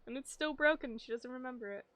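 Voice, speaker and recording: A woman streaming a game, with a slightly high, bright and forceful voice. She talks casually and expressively, reacting informally as she plays. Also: quick pace, no echo.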